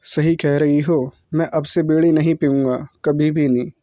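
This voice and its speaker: A man's voice: fairly high pitch, fairly even intonation, normal speed, mellow, no echo, clean studio sound, natural voice. A man voicing a sulky bachelor crow in a cartoon story, casual and grudging. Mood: resolved. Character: male crow, sulky beedi-smoking bachelor.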